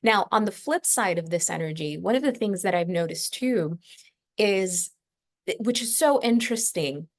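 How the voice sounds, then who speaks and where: A woman's voice: mid-range voice, lively intonation, normal speed. Reflective woman teaching astrology, mid-range voice. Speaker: astrology podcast host. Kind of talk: unscripted spiritual astrology lesson.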